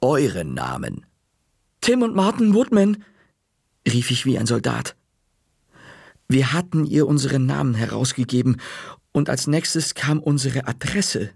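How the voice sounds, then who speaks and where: A man narrating a children's story in a measured, dry-humored way. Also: mid-range voice, gravelly, darkish tone, slightly rough, dry recording.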